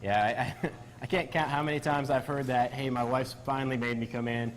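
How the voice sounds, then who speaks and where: A man's voice: mid-range voice, fairly even intonation, fairly quick. A man, a physician guest on a TV interview, explaining things earnestly with some ums and uhs. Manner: earnest, explanatory, many fillers. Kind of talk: TV interview answers. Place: barbershop on location.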